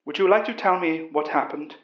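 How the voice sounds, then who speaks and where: An echoey, slightly muffled recording carries a man acting a composed British professor. His highish voice is measured and literary, narrating a horror tale with calm authority. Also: lightly acted. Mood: calm questioning. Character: calm, commanding British professor recounting a monster investigation. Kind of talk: dramatic first-person horror narration. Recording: dull, roomy recording.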